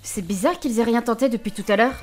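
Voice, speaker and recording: Actress doing a talkative spirited young adventurer girl, highish voice, fantasy audio series, casual and expressive. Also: fast, soft background music, natural voice. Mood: puzzled, wary. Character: chatty, spirited young woman adventurer, potion student. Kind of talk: amateur audio fantasy drama acting.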